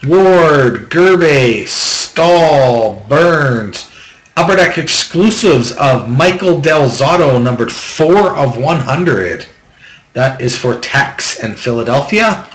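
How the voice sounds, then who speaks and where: A man's voice: on the high side, a bit bright. A man hosting a card break, reading off names as he opens packs, with offhand jokes between. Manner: quick list-reading with offhand jokes and complaints. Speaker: box break host. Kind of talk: reading out trading cards while opening packs. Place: small room at home, table. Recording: echoey room, faint background noise.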